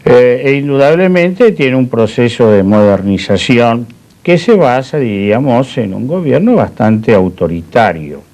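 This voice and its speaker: An older man from Spain, discussing history as an interview guest in a deliberate, discursive, formal way. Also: medium pitch, big pitch swings, a little mellow. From born in Madrid, Spain; settled in Argentina.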